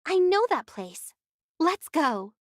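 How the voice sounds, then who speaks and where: A woman's voice: very high-pitched, very animated, slow pace, no echo, clean studio sound, full character voice. A woman playing a confident, teasing but caring young female guide in an anime-style game. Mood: breezy. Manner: breezy, teasing.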